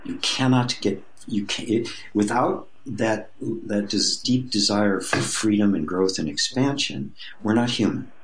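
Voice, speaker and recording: A mature man with a low-pitched voice talks as a guest teacher in an interview. He explains his ideas reflectively and conversationally, often restarting a phrase as he works toward the wording. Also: a little slow, hardly any pauses, rough recording.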